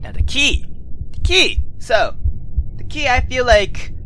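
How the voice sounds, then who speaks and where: A woman game streamer who reads story text dramatically and thinks out loud in casual commentary, swearing freely. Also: a little high, very animated, takes it slowly, slight background noise, low-quality recording. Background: game music.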